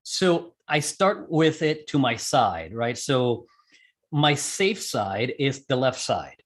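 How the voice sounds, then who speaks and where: A man's voice: medium pitch, very animated, takes it slowly. A man being interviewed, explaining a technical procedure step by step in a conversational way and correcting himself as he goes. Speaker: interview guest, amateur radio operator and skydiver.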